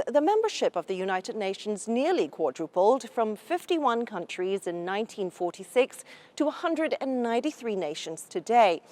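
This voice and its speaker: A woman news correspondent delivering formal, measured broadcast reports. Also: mid-range voice, a little mellow.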